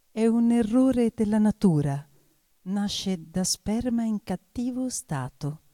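A woman giving a theatrical recitation, declamatory and deliberate, with weighted pauses. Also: lowish voice, warm tone, dry recording.

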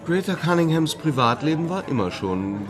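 A man's voice: on the high side, big pitch swings, normal speed, crowd noise. An actor playing an urbane, haughty male playwright in a German radio play, catty, sardonic and theatrical.

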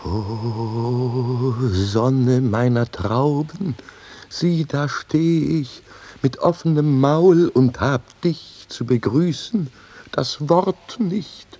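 A man's voice: mid-range voice, very slow, warm tone, slightly rough. An actor playing an earthy, stubborn wine farmer in a radio play, declaiming and savouring his words. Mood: awed, tongue-tied. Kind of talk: theatrical radio play acting with some singing.

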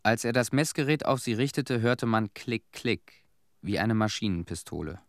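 An actor in a radio drama playing a somber young Chernobyl survivor who recalls childhood in measured, plain sentences. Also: male voice, lowish voice, expressive, no echo, clean studio sound. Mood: somber recollection.

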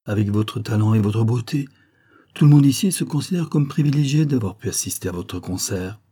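A man voicing a huge but gentle, courteous plantation man paying compliments. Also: medium pitch, lively intonation, brisk, slightly rough. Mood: gallant. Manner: courteous, gallant.

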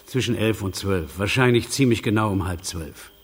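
Very raspy sardonic forensics expert. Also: male voice, on the low side, moderate pace. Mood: lecturing. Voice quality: very husky, raspy. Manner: sardonic, lecturing, casual. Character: sardonic, know-it-all male forensic investigator. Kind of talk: German radio crime drama acting.

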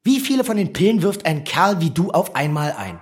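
A man's voice: fairly high pitch, sing-song, fairly quick. An actor playing a pushy small-town policeman in a radio play, needling and sneering as he interrogates.

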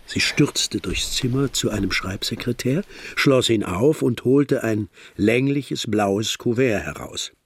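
An actor as the narrator of a detective radio drama, telling the story in a measured, literary manner. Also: male voice, mid-range voice, husky, dry recording. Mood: measured, suspenseful.

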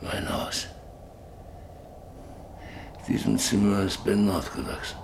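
An actor playing a sick, stubborn, lonely old rancher in a German radio play, halting and wistful, lost in memories. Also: male voice, lowish voice. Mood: wistful, haunted. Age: older. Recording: noisy radio play with ambient sound.

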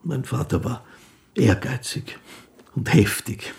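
Slow, creaky, gentle old fiddler. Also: male voice, mid-range voice, animated. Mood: wistful. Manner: halting, polite, reflective. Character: gentle, humble old male street fiddler, wistful and naive. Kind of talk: radio play acting.

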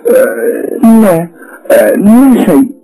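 A man voicing an unhappy young wife with a breathy, sighing falsetto. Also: big pitch swings, normal speed, warm tone, low-quality recording. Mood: tearful.

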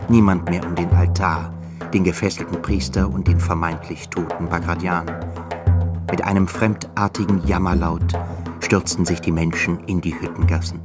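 A grave male narrator of a literary radio play. His lowish voice tells the story with intensity while music plays clearly behind him. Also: level tone, normal speed, natural voice. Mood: grave, urgent.

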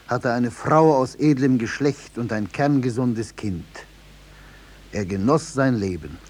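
Actor as measured male storyteller of old legends. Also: mid-range voice, darkish tone, close to an everyday voice. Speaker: narrator of a play. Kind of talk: 1950s German radio drama storytelling.

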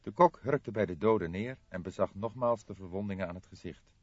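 A man's voice: lowish voice, a little fast, a little mellow, slightly rough. A man narrating a crime novel as a scripted, dramatic audiobook reading. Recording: roomy echo, slightly muffled audio.

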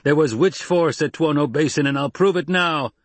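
A man's voice: a little high, moderate pace, no echo, pitched above the performer's own voice, close to an everyday voice. Man voicing a raging desert warrior. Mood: angry. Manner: growling, snapping. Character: angry volatile male desert warrior, loud and grudging.

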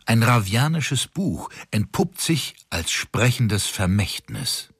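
An actor as the storyteller of a German fantasy radio drama, giving scripted narration. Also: male voice, lowish voice, sing-song, slow, a bit bright, breathy edge, no room sound, clean studio sound, full character voice. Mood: intriguing. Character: male storyteller narrating a fantasy tale, lively. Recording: noisy ambience behind voice.

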